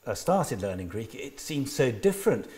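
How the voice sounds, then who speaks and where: A man interviewing a guest, asking probing, measured questions in an academic conversation. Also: mid-range voice, sing-song, a little mellow.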